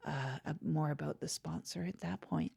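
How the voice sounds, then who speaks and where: Folksy public access co-host played by a woman, lowish voice, earnest, agreeable, halting improvised chat. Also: steady tone, vocal fry, a little mellow, husky, heavily acted. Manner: halting, repeats herself, agreeable. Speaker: podcast co-host. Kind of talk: improvised comedy chat in character.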